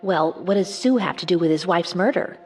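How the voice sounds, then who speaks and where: An actress playing a cautious, scolding 1950s hospital nurse in an audio drama. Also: female voice, fairly low pitch, expressive, a little fast, darkish tone, faint music behind. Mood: reproving.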